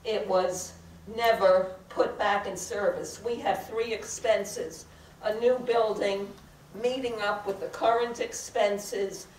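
An older woman speaking at a podium during public comment at a council meeting, deliberate and halting with frequent fillers. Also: medium pitch, a little mellow, a little hiss or hum. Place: large crowded council chamber. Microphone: podium microphone, heard from a far camera. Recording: echoey chamber recorded from far off.

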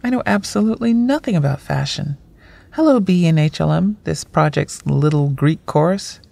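An actress playing a self-deprecating screenwriter in a naturalistic audio drama, with dry, sardonic banter. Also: female voice, deep voice, animated, moderate pace, vocal fry, dark tone, dry recording, heavily acted. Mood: wry, playful. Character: wry self-deprecating woman screenwriter among close friends.

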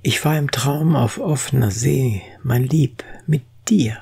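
A reader reciting poetry in a solemn, expressive way, with deliberate phrasing and a breathy, husky voice. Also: mid-range voice.

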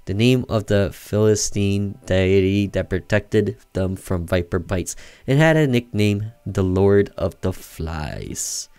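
A man's voice: lowish voice, takes it slowly, hardly any pauses, warm tone, smooth, no echo. A man doing casual gameplay commentary and reading on-screen story text aloud. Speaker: gamer doing a let's play, reading game text aloud.

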